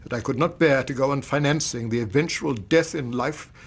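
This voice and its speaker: A man's voice: medium pitch, husky. An actor playing an anxious, upright older British banker and weary father, speaking formally in a radio drama. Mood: troubled recounting. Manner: formal, measured, distressed. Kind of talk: BBC radio drama acting.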